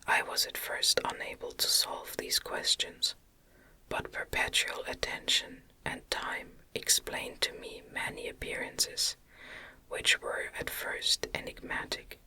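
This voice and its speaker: A woman reading a gothic novel aloud as ASMR, in a gentle, breathy, unvoiced whisper with measured delivery, close to the microphone. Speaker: audiobook narrator.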